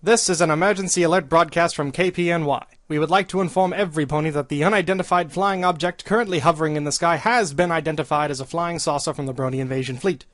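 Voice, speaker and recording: A man's voice: fairly high pitch, quick pace, hardly any pauses, fairly bright tone, dry recording, close to an everyday voice. A man playing an earnest, bumbling pony announcer reading a mock emergency broadcast in a radio play. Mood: official.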